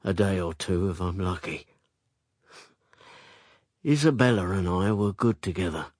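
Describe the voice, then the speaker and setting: Coarse, slow-spoken, low-voiced bitter man. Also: animated, darkish tone, no echo, clean studio sound. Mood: bitter, confessional. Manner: blunt, sardonic. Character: coarse, bitter male rival and lover, self-styled common man. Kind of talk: radio drama acting.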